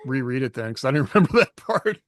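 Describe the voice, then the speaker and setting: A man's voice: mid-range voice, very animated, fast, dry recording, studio quality. A man co-hosting a podcast, easygoing, chuckling and trailing off mid-thought. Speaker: podcast co-host. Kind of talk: casual podcast discussion.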